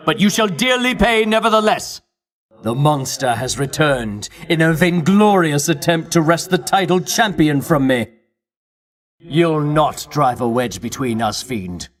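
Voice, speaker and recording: Self-righteous male champion, fairly high voice, pompous, theatrical, archaic declaiming, haughty heroic video game character. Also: a bit bright.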